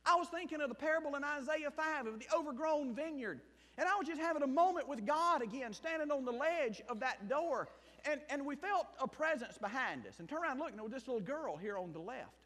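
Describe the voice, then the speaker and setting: Man, Southern Mississippi accent, very high voice, lively swinging pitch, emphatic preaching, long reverb of a church hall. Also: a little fast. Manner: emphatic, swings between loud bursts and hushed asides. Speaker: missionary pastor. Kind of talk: sermon with personal testimony.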